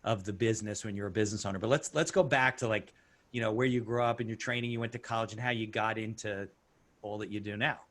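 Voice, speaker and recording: Fast-talking male podcast host. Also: on the low side, steady tone, fairly bright tone. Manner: chatty, quick, teasing interjections. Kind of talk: casual interview chat.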